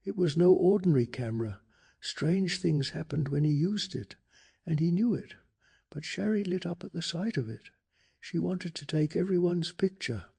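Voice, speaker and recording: Male ASMR narrator, hushed and deliberate, extremely husky and crackly, for scripted bedtime stories. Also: fairly high pitch, lively intonation. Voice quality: breathy, gravelly, hushed. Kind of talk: soft-spoken ASMR scripted story narration.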